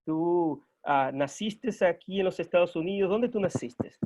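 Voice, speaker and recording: A man's voice: sits high, expressive, a little slow, darkish tone. A man hosting an interview, speaking formally with hesitant "ah" fillers. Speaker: interview host. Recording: dull lo-fi sound.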